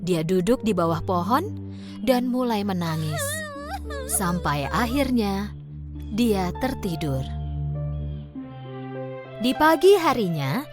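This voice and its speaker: Casting: woman storyteller for children's cartoons, expressive, animated, higher-pitched, over soft music. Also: slow, long pauses. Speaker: narrator. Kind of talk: scripted children's story narration.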